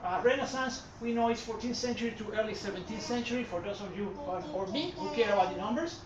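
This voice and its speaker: A man's voice: sits high, fast. A man from Puerto Rico with a Puerto Rican Spanish accent, giving a casual art history talk in a gallery, rambling and correcting himself with many fillers. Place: art gallery hall with an audience. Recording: far-off echoey room mic, dull and noisy.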